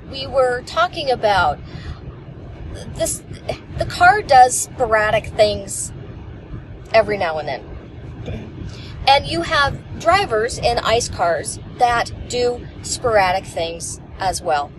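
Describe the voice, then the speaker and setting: Chatty woman in a noisy car. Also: fairly high pitch. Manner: chatty, rambling storytelling. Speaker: passenger. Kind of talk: casual chat. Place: inside a moving car. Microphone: camera on the dashboard. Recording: clear road noise in a car.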